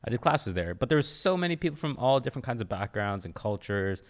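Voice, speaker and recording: A man hosting a casual podcast, talking in stammering restarts and answering with a steady "right". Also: mid-range voice, quick pace. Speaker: podcast host.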